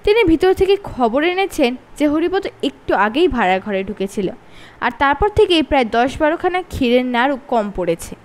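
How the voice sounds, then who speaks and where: A woman's voice: high voice, sing-song, normal speed. A woman with a Bengali accent reading a children's story aloud, shifting her voice for each character.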